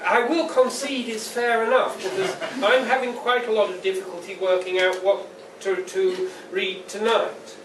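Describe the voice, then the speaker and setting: A man speaking as a poet at a live reading, with a deliberate, dry and wry delivery, recorded indoors at a distance. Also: sits high. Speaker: poet reading at a book launch. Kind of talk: public poetry reading with informal introduction. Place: indoor pub venue at a live launch event. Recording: echoey, noisy live room, distant mic.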